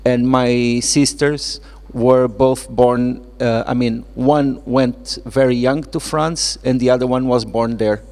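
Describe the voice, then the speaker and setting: Slow, creaky Portuguese man in echoey hall. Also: medium pitch, steady tone, a little mellow. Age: middle-aged. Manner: halting, searching for words. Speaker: author, guest speaker. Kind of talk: reflective public talk at a literary event. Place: indoor event space with reverberant acoustics. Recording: echoey hall with long reverb.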